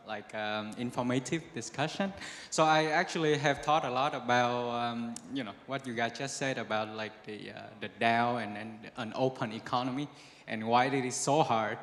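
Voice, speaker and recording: A man with a medium-pitched voice puts a question at a conference. He talks informally and loosely, padding phrases and checking that listeners follow. His voice rings in a big reverberant hall. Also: moderate pace, a bit bright. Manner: rambling, filler-heavy, often seeking agreement. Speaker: audience member asking a question. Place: large conference event hall.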